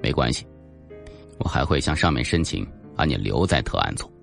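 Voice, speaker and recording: Guy doing a cold probing cop boss for an audiobook, super low n gravelly, menacing. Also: very animated, moderate pace, fairly bright tone, soft background music, heavily acted. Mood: businesslike. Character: cold, probing male police team leader. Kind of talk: audiobook character dialogue.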